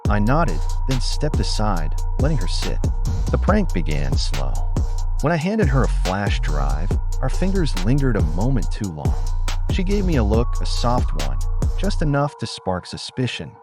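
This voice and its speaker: A man's voice: lowish voice, huge pitch swings, measured pace, music in the background. A man narrating a scripted first-person story in a dramatized audiobook manner.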